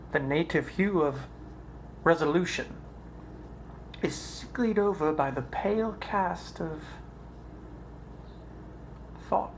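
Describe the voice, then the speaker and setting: A performer playing a brooding Shakespearean prince in a theatrical soliloquy, deliberate and pausing, in a home recording. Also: mid-range voice. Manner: deliberate, pausing, dropping to whispers. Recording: noisy amateur home recording.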